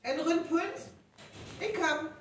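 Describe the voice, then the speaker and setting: A woman with a North Frisian accent playing an excitable, fretful village woman in a live radio play. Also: extremely high pitch, slow. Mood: anxious. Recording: very echoey live stage, distant, faint noise.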